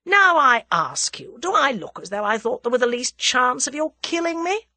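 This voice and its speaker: Cocky young Englishman acted by a woman, scornful, self-assured, animated pitch, close dry sound, audiobook character. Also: moderate pace, dry recording, heavily acted. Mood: calm, cocky. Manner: scornful, taunting, confident. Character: cool, cocky young Englishman bluffing his captors.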